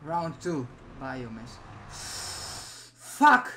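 A man's voice: mid-range voice, very animated, pushed and bright, a little hiss or hum. A young man giving casual gameplay commentary, muttering and reacting in short bursts. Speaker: gaming streamer. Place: small room indoors at home. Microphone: desk USB microphone.

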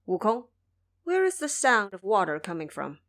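A worried monk master played by a woman in an audio drama. He speaks formally and plaintively, a gentle and timid man with a lively lilt. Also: mid-range voice, moderate pace. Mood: puzzled, gentle.

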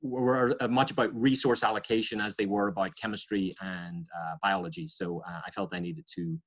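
Man, forties, low voice, hesitant with many fillers, video call mic. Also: fairly even intonation, moderate pace. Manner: hesitant, many uhs and restarts. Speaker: environmental scientist speaking to students. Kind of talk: informal career talk over video call. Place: home or office on video call, virtual background. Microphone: webcam or laptop mic on video call.

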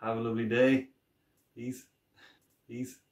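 A young man chatting casually to camera about playing a guitar cover, relaxed, with lots of ums. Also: medium pitch, unhurried, recorded from a distance. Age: young adult. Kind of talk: casual video intro. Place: small wooden home studio cabin. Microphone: camera mic from a few feet away. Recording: roomy wooden room, off-mic.

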